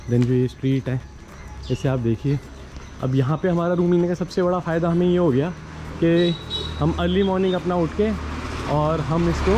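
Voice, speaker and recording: A man's voice: fairly high pitch, darkish tone, a little hiss or hum. Chatty man vlogging on a street. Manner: chatty, enthusiastic tour-guide talk mixing English words. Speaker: travel vlogger. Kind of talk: walking travel vlog. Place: outdoors on quiet old town streets. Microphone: handheld camera at arm's length. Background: faint street ambience and vehicles.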